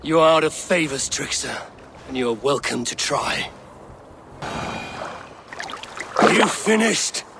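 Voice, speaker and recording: A man's voice: a little high, expressive, moderate pace, creaky, background noise. An actor playing a proud, stern Norse god-king in a video game, speaking in a grave, commanding, formal way. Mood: cold, threatening. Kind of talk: dramatic video game cutscene acting.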